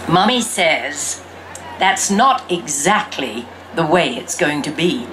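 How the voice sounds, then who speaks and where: A woman reading a storybook in the first person as an exuberant young girl who fancies herself a fairy princess, with theatrical, drawn-out emphasis. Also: low voice, expressive, noisy background. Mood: bright, self-assured.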